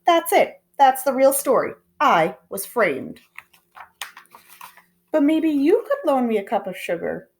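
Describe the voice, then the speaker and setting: A woman plays a storybook wolf with a high, very lively voice. He chats confidingly to the listener, all mock innocence. He is folksy and keeps justifying himself. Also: a little slow, pauses often, full character voice. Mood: aggrieved, insistent. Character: self-justifying, folksy male wolf telling his side. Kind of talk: animated children's storybook read-aloud.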